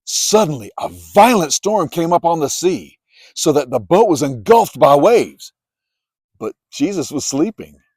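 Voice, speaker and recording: A man narrates scripture in an expressive storytelling style. His pitch moves widely as he goes, and the sound is dry, with almost no room on it. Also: unhurried, breathy edge, no echo. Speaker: narrator, Bible reader. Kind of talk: dramatized scripted Bible narration.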